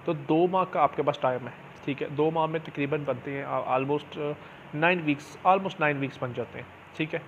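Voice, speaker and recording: A man's voice: fairly high pitch, moderate pace. A man gives a casual, explanatory game tutorial, often adding little check-in tags as he goes. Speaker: gaming channel host. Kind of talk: casual screen-recorded game tutorial commentary. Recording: dull lo-fi mic with clear background noise.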